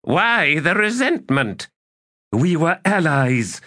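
A man's voice: on the high side, sing-song, slow, voice pushed up, full character voice. A man doing a scornful, vengeful one-eyed god. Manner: forceful, scornful, commanding. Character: vengeful one-eyed male elder god, bitter and ambitious. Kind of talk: audiobook character reading.

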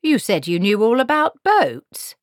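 A woman's voice: fairly high pitch, very animated, a little slow, no room sound, clean studio sound, pitched above the performer's own voice. A woman voicing a brusque, stubborn old witch in a storybook, with blunt, folksy, commanding speech. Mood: dry, calm.

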